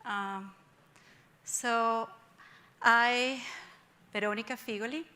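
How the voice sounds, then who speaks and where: A woman's voice: on the high side, steady tone, pushed and bright, smooth. A middle-aged woman from Venezuela with a Venezuelan Spanish accent, giving a reflective, earnest personal speech at a forum. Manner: reflective, earnest, measured pauses. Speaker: forum speaker. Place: conference room with audience.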